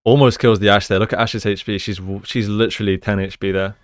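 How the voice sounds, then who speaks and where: A man's voice: fairly low pitch, fairly even intonation, fast, slight background noise. A man giving casual, conversational commentary as he analyses gameplay like a coach, explaining each move.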